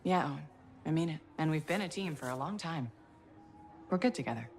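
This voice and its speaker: Level-headed game heroine, talks fast, kinda low voice, dry but reassuring, bit of music behind. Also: female voice, a little mellow. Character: level-headed heroine freelancer, loyal, dryly teasing team leader. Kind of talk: video game cutscene acting.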